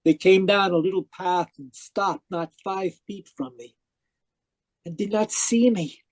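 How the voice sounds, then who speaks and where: A man acting a tormented dead man who narrates his afterlife in old-time radio mystery style, halting and pleading. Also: high-pitched, no echo. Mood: haunted, weary. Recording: live video call performance.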